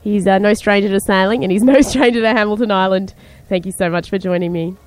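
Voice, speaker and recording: A woman's voice: mid-range voice, steady tone, fast talker, a little mellow, no room sound. A woman host, enthusiastically linking segments of the show. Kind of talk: link between segments.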